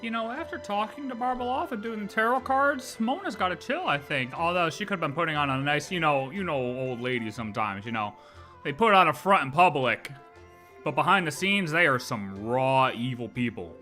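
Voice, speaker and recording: A woman's voice: low-pitched, animated, brisk, pushed and bright, faint music behind. A woman game streamer giving casual live reaction commentary, informal, with wry asides to the characters.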